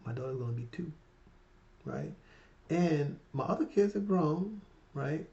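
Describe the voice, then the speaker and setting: A man's voice: on the high side, expressive, a little slow, muffled recording. A man in his fifties talking casually to his viewers in a rambling, heartfelt way, often tagging his sentences with "right?". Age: 50s. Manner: rambling, repeats "right?" and "you guys"; warm and heartfelt, emotional about his mother. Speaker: finance vlogger. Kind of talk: casual motivational talk to camera.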